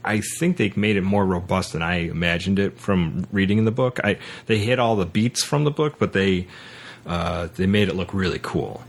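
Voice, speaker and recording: A man hosting a podcast, casually talking through a film in a halting way, circling back to repeat his words. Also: deep voice. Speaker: podcast host.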